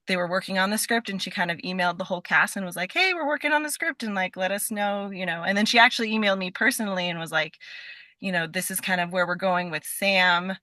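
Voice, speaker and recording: A woman talking casually as a podcast guest, halting and restarting often, peppering her sentences with "like". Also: fairly low pitch, sharp tone, dry recording. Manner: halting, filler-heavy with frequent "like". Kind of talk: casual chat.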